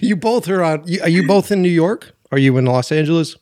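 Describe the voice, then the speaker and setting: A man's voice: fairly high pitch, lively intonation, fairly quick, darkish tone, dry recording, studio quality. Chatty joking male podcast host. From US East Coast. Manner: chatty, joking, swears casually. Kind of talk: casual podcast interview. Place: Los Angeles, remote call.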